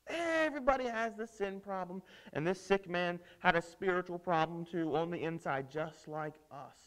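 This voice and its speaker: A man with a high-pitched voice tells a Bible story as a children's preacher. He is theatrical and emphatic, using dramatic pauses and posing questions to his young listeners. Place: indoors at a lectern on a stage set.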